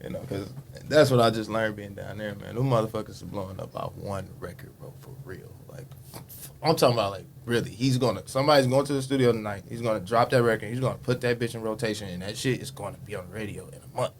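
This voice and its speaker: A man's voice: on the low side, quick pace, vocal fry, slight background noise. A man in his late twenties, a rapper guest on a podcast, talking casually in slang with lots of swearing and "you know what I'm saying". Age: late 20s.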